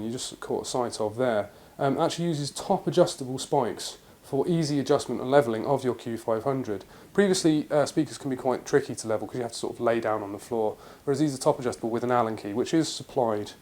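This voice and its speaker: British guy talking through a product review, medium pitch, explains stuff plainly. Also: darkish tone. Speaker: presenter of product review videos. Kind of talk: semi-scripted product review narration.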